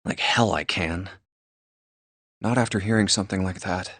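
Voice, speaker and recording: A man's voice: sits low, normal speed, fairly bright tone, no echo. An actor voicing a sardonic, easily exasperated young man, the hero of a video game. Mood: sullen, exasperated. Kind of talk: English video game voice acting.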